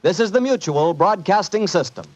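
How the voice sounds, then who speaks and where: A man's voice: high-pitched, animated, normal speed. An American man announcing in 1940s radio style, with folksy, polished salesmanship. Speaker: radio announcer and sponsor pitchman. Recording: muffled 1940s radio broadcast with music.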